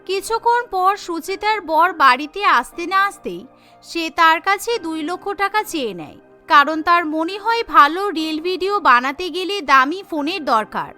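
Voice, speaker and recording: A woman narrates a cartoon story from a script. Her voice is extremely high-pitched and bright, with music running underneath. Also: lively intonation, moderate pace. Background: background music.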